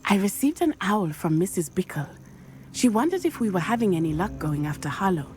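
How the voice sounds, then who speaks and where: A woman's voice: medium pitch, very animated, fairly quick, darkish tone, slight background noise, close to an everyday voice. An actress playing an earnest, determined young student witch with a precise, formal way of speaking. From Matabeleland. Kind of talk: video game voice acting.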